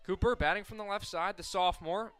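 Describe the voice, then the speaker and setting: A man with an American accent does live softball play-by-play for radio. His voice sits a little high and rings bright and clear. He talks conversationally through the details, ready to lift for the action. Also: fast talker. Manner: conversational between plays, excited calls on action.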